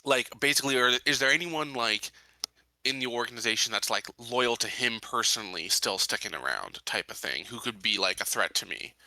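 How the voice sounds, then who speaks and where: A man's voice: medium pitch, lively intonation, brisk, pushed and bright, no room sound, rough recording. A man chatting casually as a player in a tabletop game, hesitant and piecing his thoughts together with many fillers.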